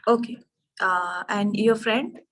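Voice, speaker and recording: A woman teaching an online yoga anatomy class, speaking haltingly with many fillers. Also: mid-range voice, fairly even intonation, a bit bright. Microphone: video call.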